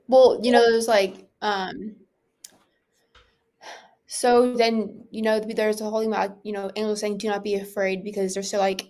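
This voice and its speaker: A woman's voice: mid-range voice, darkish tone. Young woman co-host asking questions casually. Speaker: podcast co-host.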